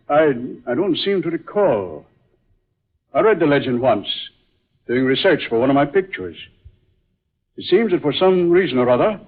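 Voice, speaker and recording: An actor playing a solemn, dramatic man in an old-time radio drama, speaking in a deliberate, theatrical way. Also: medium pitch, breathy edge. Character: solemn male film star, grave and dramatic. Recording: muffled, tinny vintage radio recording.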